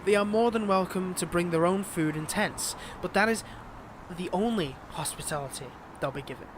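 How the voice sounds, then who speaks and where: Guy doing a smug possessive fantasy villain, highish voice, taunting, noisy outdoor background, roleplay audio. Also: normal speed, lightly acted. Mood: smug, threatening. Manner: taunting, theatrical. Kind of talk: roleplay audio drama acting.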